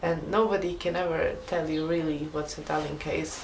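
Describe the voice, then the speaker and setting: A woman's voice: low-pitched, little pitch movement, a little fast, creaky. Bosnian woman, earnest and thoughtful. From Bosnia and Herzegovina. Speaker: student musician. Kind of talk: documentary interview. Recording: distant mic, faint ambient noise.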